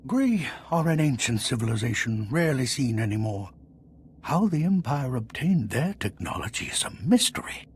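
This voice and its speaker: A man playing an elderly, theatrical, wise Jedi master in a video game, with dramatic pauses and drawn-out mentor phrasing. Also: mid-range voice, very animated, slight background noise, close to an everyday voice. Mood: grave, explanatory.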